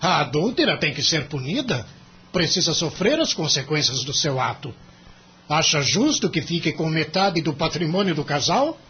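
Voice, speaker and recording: A Brazilian actor playing a cynical, money-minded senior lawyer in an audio drama, emphatic and condescending. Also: male voice, on the high side, big pitch swings, moderate pace, a bit bright, dull audio, a little hiss or hum. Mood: scornful, domineering. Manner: emphatic, condescending, theatrical delivery.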